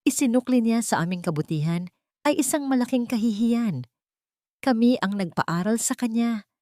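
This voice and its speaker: A woman's voice: on the high side, lively intonation, normal speed, dark tone, no echo, studio quality, voice pushed up, close to an everyday voice. A woman putting on a scornful older matriarch.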